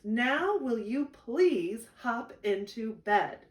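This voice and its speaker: A woman's voice: a little high, very animated, drawn-out words, full character voice. Woman playing storybook big brother, patient, coaxing, questioning, swooping intonation, echoey room. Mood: patient, exasperated. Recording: echoey room, distant microphone.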